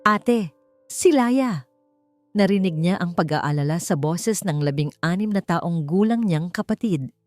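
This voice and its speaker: A woman with a Filipino accent narrating a scripted story in a measured, dramatic way. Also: lowish voice, a little mellow, dry recording. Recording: dry studio voice over background music. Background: background music.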